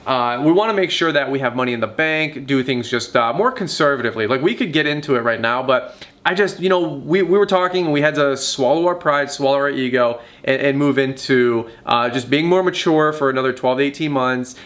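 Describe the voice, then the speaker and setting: A man in his thirties chatting casually in a home vlog, energetic and motivational, with plenty of fillers. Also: medium pitch, fairly quick. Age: 30s. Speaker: vlogger. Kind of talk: casual couple vlog chat. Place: apartment living room, indoors.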